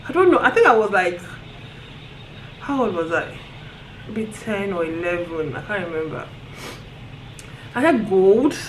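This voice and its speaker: A woman with a Nigerian accent telling a story on her vlog in an animated way, often breaking into laughter. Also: medium pitch, a little slow, long pauses, noisy background. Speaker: vlogger. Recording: roomy echo, faint ambience.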